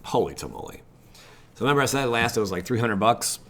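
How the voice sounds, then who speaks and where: A man's voice: mid-range voice, very animated, fast, fairly bright tone. An American man hosting a gaming channel, chatting casually and rambling informally as he reacts to what he reads, with mildly exasperated asides. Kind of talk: casual livestream chat, reacting to web pages.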